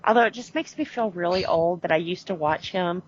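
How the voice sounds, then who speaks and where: A woman's voice: fairly low pitch, a little fast, noisy background. Podcast lady rambling and fixing herself mid-thought, chatty, muffled lo-fi sound. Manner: rambling, self-correcting, laughs often. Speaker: podcast co-host. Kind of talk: casual chat.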